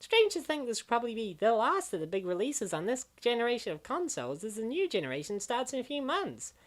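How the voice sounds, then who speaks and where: A woman's voice: a little high, big pitch swings, fast. A woman playing an opinionated gamer who grumbles wryly and wordily about video games in a scripted comic dub. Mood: wry critique. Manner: wry, wordy complaining.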